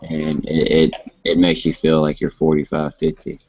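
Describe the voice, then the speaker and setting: Deep-voiced man in his twenties, rambling. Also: monotone, normal speed, a little mellow, no room sound. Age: 20s. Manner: rambling, laid-back storyteller with frequent restarts. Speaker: host. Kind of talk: casual chat. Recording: muffled lo-fi live stream audio.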